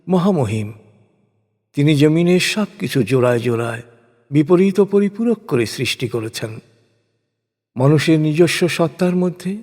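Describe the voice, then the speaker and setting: A man narrating translated scripture in a solemn, measured, deliberate way, reading from a script. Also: fairly high pitch, darkish tone. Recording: long reverberant hall echo.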